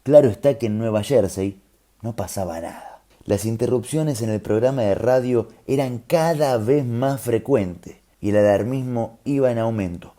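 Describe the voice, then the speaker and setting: Argentine man narrating in a very dark, mellow voice. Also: medium pitch, normal speed. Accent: Rioplatense Argentine. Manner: conversational, explanatory. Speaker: storyteller host. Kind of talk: scripted narration with colloquial asides.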